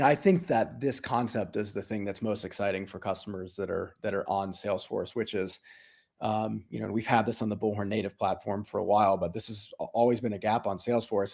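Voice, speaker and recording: A man's voice: fairly low pitch, gravelly, a little mellow, husky. A man speaking as a business executive guest, explaining things in an informal, conversational way. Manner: informal explainer, checks in with "right?". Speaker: software company executive, interview guest.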